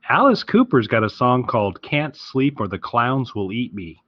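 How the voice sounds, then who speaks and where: A man's voice: mid-range voice, very animated, moderate pace, breathy edge, dry recording. A man co-hosting a podcast in casual chat, with a dry, joking manner. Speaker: podcast co-host. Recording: slightly dull, lo-fi close recording.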